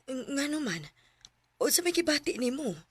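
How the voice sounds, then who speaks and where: A woman with a Filipino Cebuano accent playing a gentle, loving woman in a sentimental radio drama. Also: high voice, very animated, normal speed, no room sound. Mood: tender, sorrowful. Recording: radio drama with music underneath.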